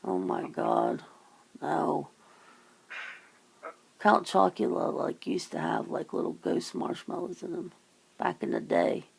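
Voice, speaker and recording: A woman's voice: on the low side, very animated, slow pace, vocal fry, pauses often, breathy edge, poor audio. A woman having a casual phone chit-chat, rambling and teasing, with some casual swearing. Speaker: ASMR channel host.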